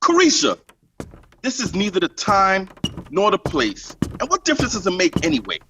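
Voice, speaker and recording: A man's voice: sits high, huge pitch swings, moderate pace, noisy background. An actor playing a cocky, defensive young male singer in a radio drama.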